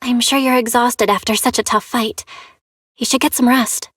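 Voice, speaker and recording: Husky, caring friend. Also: female voice, a little high, steady tone, moderate pace, fairly bright tone. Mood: concerned. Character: kind, caring female friend, gentle and solicitous. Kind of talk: dramatic video game voice acting.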